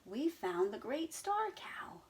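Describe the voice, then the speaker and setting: A woman reading a storybook aloud and voicing a bossy, excitable female cow who moos her lines. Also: a little high, slow, voice pushed up. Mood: excited. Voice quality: mooing, breathy. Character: excitable farm cow, bossy and pleased with herself.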